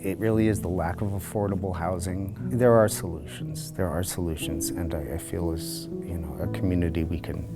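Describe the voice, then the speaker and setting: Man, very low voice, personal testimony, halting and reflective, repeats words, soft guitar music behind. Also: steady tone. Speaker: lived experience advisory group member. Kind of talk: personal testimony for a short video.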